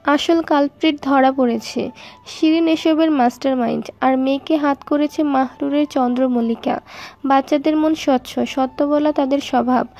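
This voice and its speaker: A woman's voice: sits high, steady tone, moderate pace, a little mellow, faint music behind. A woman reading scripted story narration.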